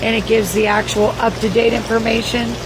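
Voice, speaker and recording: Hoarse woman, fairly high voice, profane rambling livestream host; noisy recording. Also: level tone, brisk. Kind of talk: casual livestream recap reading viewer comments. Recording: noisy background.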